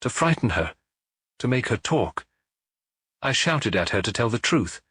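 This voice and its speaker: A man voicing a broken middle-aged man who confesses in a halting, pleading way in a detective audiobook. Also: mid-range voice, sing-song, fairly bright tone, breathy edge, no room sound, studio quality, heavily acted. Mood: anguished.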